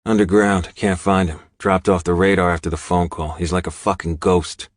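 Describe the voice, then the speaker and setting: A man plays a tough outlaw biker lieutenant with a low voice. He is grim, terse and matter-of-fact, heard close and dry in an audiobook. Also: flat delivery, moderate pace, dry recording, close to an everyday voice. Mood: grim, blunt.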